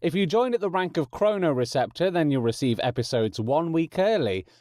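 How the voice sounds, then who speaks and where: A man's voice: a little high, animated, a little fast, darkish tone, dry recording, clean studio sound. A man with an English accent chatting casually to listeners as a podcast host.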